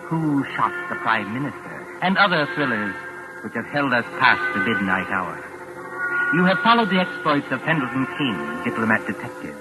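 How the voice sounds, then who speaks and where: An actor playing a mysterious, urbane host, narrating a 1940s radio suspense show in a measured, formal, theatrical way. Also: male voice, mid-range voice, natural voice, poor audio. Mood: measured storytelling. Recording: old 1940s radio broadcast, music underneath.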